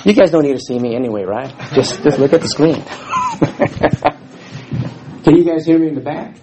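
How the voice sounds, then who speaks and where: A man's voice: on the high side, wildly swooping pitch, unhurried. A middle-aged man from Houston, with a scratchy voice, giving a casual sermon as guest speaker and restarting his sentences often. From Houston, Texas. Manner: informal, self-deprecating, restarts often. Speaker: guest speaker, former missionary. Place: church hall.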